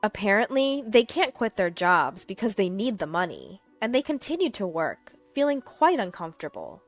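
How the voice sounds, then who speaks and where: A woman's voice: medium pitch, wildly swooping pitch, normal speed, faint music behind. A woman narrating a scripted first-person story as its storyteller.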